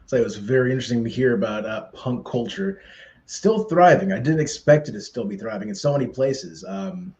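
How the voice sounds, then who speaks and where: A man interviewing a film director over a video call, asking relaxed, conversational questions. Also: mid-range voice, brisk, reverberant, lo-fi sound. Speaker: festival interviewer. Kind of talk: remote interview.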